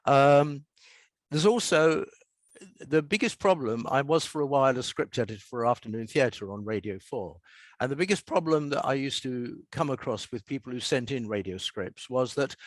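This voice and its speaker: An older man with an English accent being interviewed as a writer, telling anecdotes and explaining in a measured, emphatic, conversational way. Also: mid-range voice, dry recording. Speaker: author and radio scriptwriter being interviewed.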